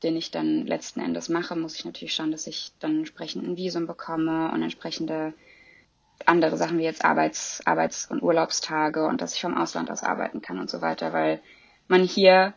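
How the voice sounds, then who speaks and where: A young woman with a gravelly, fry-laced voice talks at length in a loose, rambling way, with frequent fillers and restarts. Her pitch sits fairly low. The recording is dull and lo-fi but dry and close. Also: normal speed, no echo. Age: 20s. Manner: chatty, many fillers and restarts. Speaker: interviewee. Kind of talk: casual interview chat.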